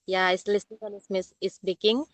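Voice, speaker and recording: A woman's voice: mid-range voice, little pitch movement, a little fast, dry recording. A woman with an Indian accent, a native Hindi speaker, joining a casual English practice chat with hesitant learner English and frequent laughs.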